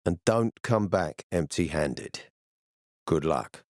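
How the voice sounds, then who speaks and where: A stern army commander from a post-apocalyptic video game. His voice is low and measured, with formal authority, captured close and dry. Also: expressive, no room sound. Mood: persuasive, firm. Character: stern ambitious male military commander in a zombie apocalypse. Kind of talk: video game dialogue acting.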